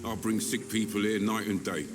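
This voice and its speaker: A man playing a gruff, cynical ambulance driver and gun smuggler in a video game, blunt and bitter. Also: fairly low pitch, steady tone, normal speed, over music, natural voice. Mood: bitter, weary. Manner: blunt, foul-mouthed, bitter. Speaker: video game character actor. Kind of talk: video game dialogue acting.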